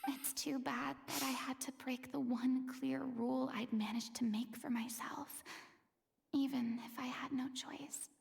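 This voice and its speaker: A flustered former stage star. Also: female voice, sits high, little pitch movement, measured pace, creaky, husky, exaggerated voice. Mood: wistful. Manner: whispery asides, flustered protests. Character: proud young woman, former stage star. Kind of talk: game cutscene voice acting.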